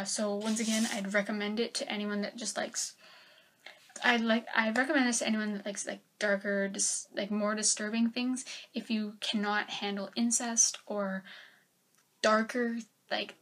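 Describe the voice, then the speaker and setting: A young woman giving a casual book review from her bedroom, chatty and rambling with lots of fillers, her voice a little raspy as she is getting sick. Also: medium pitch, fairly bright tone. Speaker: book vlogger. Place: bedroom, indoors. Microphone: webcam at desk.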